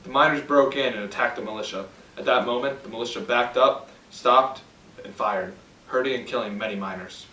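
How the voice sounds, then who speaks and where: A man's voice: medium pitch, brisk, sharp tone. A young man reading a scripted amateur radio news report as the announcer. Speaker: news announcer in a student project. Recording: echoey, distant mic, faint noise.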